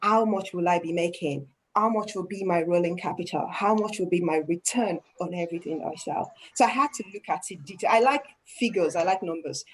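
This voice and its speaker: A woman's voice: fairly low pitch, a little fast, hardly any pauses, low-quality recording. A woman with a Nigerian accent, talking through her small business in a casual group discussion, often restarting her sentences. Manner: thoughtful, restarts often, laughs easily.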